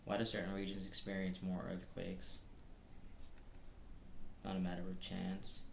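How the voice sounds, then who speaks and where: A man's voice: sits low, monotone, a little slow, gravelly. A man streaming an online course, casually thinking aloud and second-guessing his quiz answers. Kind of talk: casual live-stream commentary. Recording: roomy with faint background noise.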